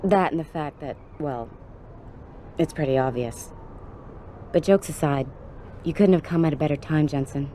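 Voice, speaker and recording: Game cutscene policewoman, played by an actress: deep female voice, streetwise and blunt, faint noise behind. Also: moderate pace, pauses often, mellow, natural voice. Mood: familiar, wry. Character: jaded, foul-mouthed undercover policewoman, old colleague. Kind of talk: video game cutscene acting.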